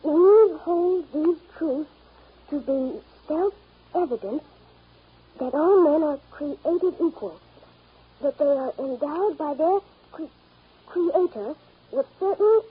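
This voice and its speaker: A woman voicing an earnest, eager young immigrant schoolboy who speaks carefully and haltingly in a 1930s radio drama. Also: sits high. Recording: thin, phone-like 1930s radio recording.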